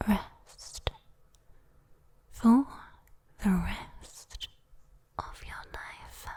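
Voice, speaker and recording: A British woman voicing a sweet, teasing, possessive demon girl in a breathy, coaxing whispered ASMR roleplay. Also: mid-range voice, darkish tone, full character voice. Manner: breathy, teasing, coaxing, slow with lingering pauses. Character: sweet, teasing, possessive young female demon comforting a human.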